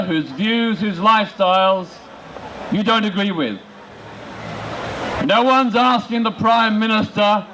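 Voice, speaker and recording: A man making an emphatic protest speech outdoors, in short, deliberate rhetorical phrases. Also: sits high, pushed and bright, low-quality recording. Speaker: political campaigner speaking at a rally. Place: large open-air city square rally. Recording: outdoor public address with background noise.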